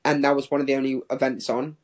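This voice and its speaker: A man in his twenties speaks as a guest in a casual interview. His voice sits at a medium pitch. He rambles conversationally, dropping "like" into his sentences again and again. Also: flat delivery, fast. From Hong Kong and England. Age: 20s. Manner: rambling, peppered with "like". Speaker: professional distance runner, interview guest.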